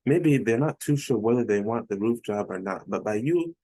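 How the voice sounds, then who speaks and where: Male tutorial presenter, soft-edged mellow timbre, instructional and direct, recorded close and dry. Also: mid-range voice, expressive, moderate pace, no echo, rough recording. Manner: instructional, addressing the listener directly. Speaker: web consultant presenting a case study. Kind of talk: explanatory screen-share tutorial.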